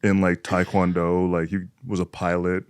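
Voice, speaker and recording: Deep-voiced, laid-back man hosting a podcast. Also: monotone, moderate pace, no echo. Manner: laid-back, filler-heavy, rambling. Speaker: podcast host. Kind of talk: casual chat.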